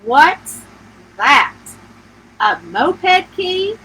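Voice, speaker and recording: A woman's voice: sits high, very animated, extremely slow, bright, forceful tone, heavily acted, low-quality recording. A woman voicing a jilted wife in a story reading, incredulous and sarcastic, with emphatic questioning delivery. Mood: incredulous, joking.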